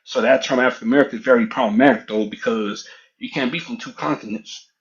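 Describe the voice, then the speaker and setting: A man's voice: mid-range voice, quick pace, lo-fi sound. A middle-aged man speaking up in an audio room, arguing assertively in a rambling, repetitive way. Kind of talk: casual heated argument.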